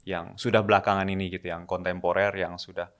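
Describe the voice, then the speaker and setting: Young guy on a podcast, low smooth voice, calm and measured. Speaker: guest, a seminarian. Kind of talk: casual podcast interview.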